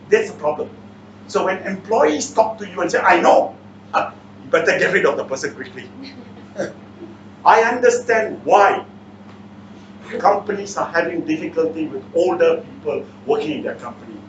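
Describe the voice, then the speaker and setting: A man's voice: on the high side, expressive, moderate pace, pauses often. Malaysian or singaporean guy doing a seminar, keeps firing questions at people, muffled roomy recording with faint noise. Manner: blunt, interactive, repeatedly challenges listeners with questions. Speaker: seminar speaker. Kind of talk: motivational seminar talk, quizzing the audience. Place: indoor seminar room. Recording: echoey room, distant mic, muffled, faint noise.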